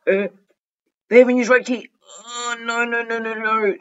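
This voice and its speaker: A man giving excitable, chatty gameplay commentary as a gaming video host, talking to himself and often repeating phrases. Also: very high voice, moderate pace, clear, resonant tone. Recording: dull, lo-fi microphone.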